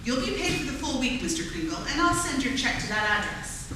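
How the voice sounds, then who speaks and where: A woman's voice: high voice, expressive, brisk. A woman playing a practical, firm but polite mother and manager in a staged radio play. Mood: brisk, exasperated. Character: practical, no-nonsense divorced mother and store manager. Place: theatre stage, live performance. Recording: echoey theatre from far, noisy.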